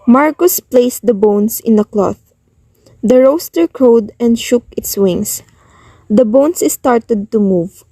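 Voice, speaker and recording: A woman's voice: on the high side, slow, warm tone, dry recording. A woman narrator reading scripted storytelling narration of a folk tale.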